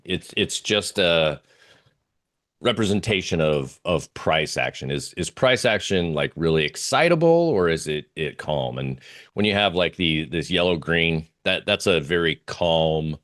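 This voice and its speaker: A man's voice: sits low, animated, unhurried, a bit bright. A man giving a casual, hesitant presentation as a guest trader, thinking aloud as he talks through charts. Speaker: interview guest, oil trader.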